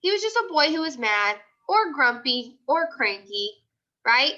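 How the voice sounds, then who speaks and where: A woman's voice: sits high, very animated, unhurried, pushed and bright, muffled recording. A woman teacher leading a live online class discussion, prompting with repeated questions and short commands.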